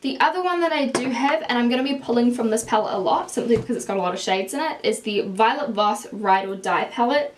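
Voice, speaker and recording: A woman's voice: fairly high pitch, quick pace, few pauses, slight background noise. A young woman with a strong New Zealand accent, chatting casually to camera as a beauty vlogger, walking through swatches of eyeshadows in a small room. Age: young adult. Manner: chatty, explains product swatches while holding up palettes. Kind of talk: casual makeup review to camera. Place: indoors, small room with plain wall.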